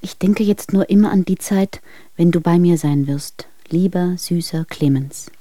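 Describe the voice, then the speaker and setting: A lovesick young wife in a radio play, speaks in a mellow, intimate, breathy voice. She clings and pleads as she reads out her love letters, recorded very dry and close. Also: fairly low pitch, measured pace, no room sound. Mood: yearning, tender.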